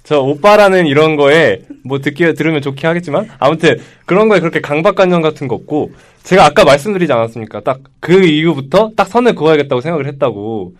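Sex: male. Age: 20s. Style: lively panel debate. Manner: quick and animated, pitch jumping about, slightly high voice. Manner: animated, acts out other people's reactions.

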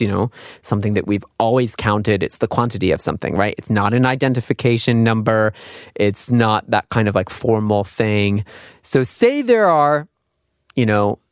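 A man's voice: on the low side, no room sound, clean studio sound. A man teaching an informal language lesson in a conversational, explanatory way, putting questions to the listener as he goes. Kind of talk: informal spoken lesson with examples and quiz questions.

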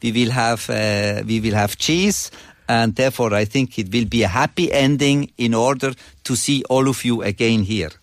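A man in his sixties with a Swiss accent, speaking conversationally as an interview guest in halting, non-native English. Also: medium pitch, dry recording, clean studio sound. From Switzerland. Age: 60s.

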